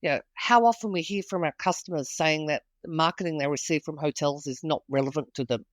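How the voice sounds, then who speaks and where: A woman's voice: low-pitched, a little fast, no echo. A woman with an Australian accent, a guest on a business podcast, answering in a confident, businesslike manner. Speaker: guest, hospitality executive. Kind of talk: interview answers.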